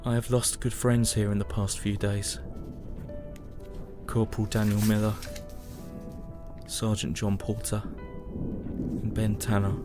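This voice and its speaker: Over clear music, a low-voiced young British soldier played by a man narrates his war journal in an audio drama. He is weary and grieving, solemn and measured, and his pitch barely moves. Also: flat delivery. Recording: music behind the voice.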